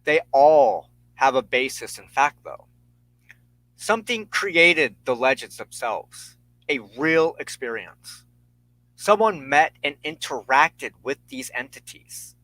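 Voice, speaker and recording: A man hosting a solo spirituality show, lecturing on folklore in an earnest, measured way, partly reading from notes. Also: mid-range voice, expressive, sharp tone, no echo, low-quality recording. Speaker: host of a spirituality talk show.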